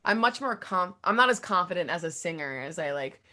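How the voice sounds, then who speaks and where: Low-voiced young woman chatting candidly. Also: lively intonation, fairly quick, sharp tone. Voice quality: low, deep voice. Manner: candid and informal, thinking aloud in fragments, often quoting own thoughts. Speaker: singer-songwriter being interviewed. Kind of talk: casual interview chat.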